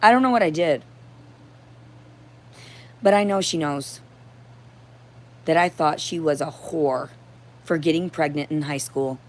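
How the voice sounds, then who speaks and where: A woman with a hoarse voice giving a rambling, unscripted livestream monologue in a confrontational, profane and disjointed manner. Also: low voice, measured pace, long pauses, a little mellow. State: hoarse throat. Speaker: livestream host.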